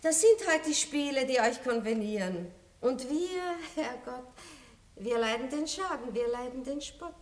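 A worldly noblewoman with a cool, teasing irony, in an old Austrian radio drama. Her voice sits a little high and stays poised and measured. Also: lively intonation. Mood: scolding. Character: worldly aristocratic lady, mature and ironic. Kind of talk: 1960s radio play acting.

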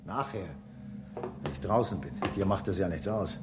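A man's voice: deep voice, animated, moderate pace, very noisy. A man playing a human space-station engineer in a radio drama, explaining things emphatically and with some impatience. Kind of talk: German radio drama acting.

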